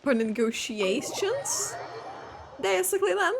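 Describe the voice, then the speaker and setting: A woman's voice: very high-pitched, big pitch swings, slow pace, vocal fry, slight background noise. A woman casually reacting to a story scene of a game she is playing, chatty and amused. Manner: chatty, amused, talks over the game with quiet asides. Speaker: reaction streamer.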